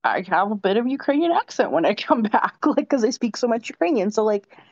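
A woman's voice: a little high, big pitch swings, fairly quick, darkish tone, no room sound, dull audio. A woman co-hosting a casual chat, talking chattily with many fillers. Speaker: podcast co-host.

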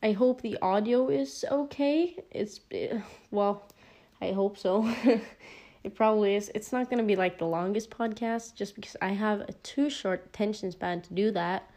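A teenage girl with a Norwegian accent talks through her solo podcast in a loose, rambling way, full of "like" and "um" and little apologetic asides. Her voice is soft and mellow rather than bright, sitting at an ordinary pitch for her age. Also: moderate pace. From Norway. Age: teen. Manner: rambling, filler-heavy, giggly asides. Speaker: podcast host.

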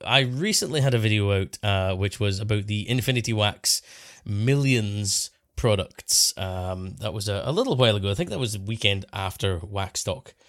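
A man's voice: fairly low pitch, normal speed, no echo, studio quality. A man from England co-hosting a podcast, telling stories with wry humour and frequent laughs. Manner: animated storyteller, laughs often, wry. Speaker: co-host. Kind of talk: casual podcast chat.

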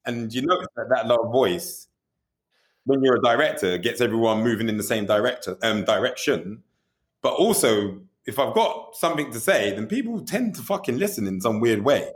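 A man's voice: medium pitch, expressive, moderate pace. A man being interviewed about directing music videos, talking candidly and animatedly, often asking "you know what I mean" and swearing casually.

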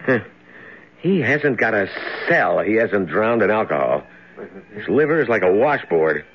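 Harried, lively radio-play doctor. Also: male voice, fairly low pitch. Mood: brisk, wry. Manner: wry, brisk, easily flustered. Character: harried, wry male night-shift emergency room doctor. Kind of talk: old-time radio drama acting. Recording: muffled, hissy old radio recording.

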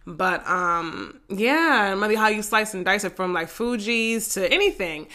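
Sassy congested woman, bright voice. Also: medium pitch, a little slow. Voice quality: raspy. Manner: slangy, sassy, laughs often. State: congested from a head cold. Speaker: podcast host. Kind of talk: casual solo podcast chat. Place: living room.

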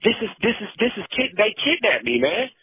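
A man speaks over a rough phone line in a husky, forceful voice. His talk is casual and insistent, with phrases repeated and punctuated by "man". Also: high voice, very animated, fairly quick, no room sound. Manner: insistent, repeats himself, says "man" often. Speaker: prison inmate telling his story. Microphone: telephone.

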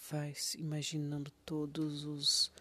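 A meditation guide with a Brazilian accent gives gentle, soothing instructions in a breathy near-whisper, leaving long pauses between prompts. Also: mid-range voice, monotone, slight background noise.

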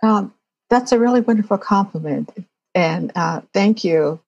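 A woman's voice: mid-range voice, a little slow, darkish tone, slightly rough, dry recording, lo-fi sound. A woman writer being interviewed, talking reflectively about her own work in conversation.

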